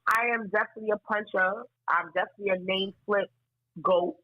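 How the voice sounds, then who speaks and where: Woman battle rapper guest, soft-spoken but blunt and profane, fast talker, dry muffled audio. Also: mid-range voice, fairly even intonation, dry recording. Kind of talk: candid interview talk.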